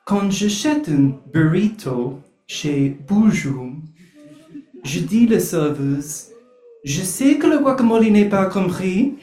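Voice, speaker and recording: A man performs spoken word poetry in a roomy venue, his delivery deliberate and theatrical with a dry, wry edge. He speaks slowly in a slightly high voice, letting each line land, and moves on to hosting the open mic in the same easy tone. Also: mellow.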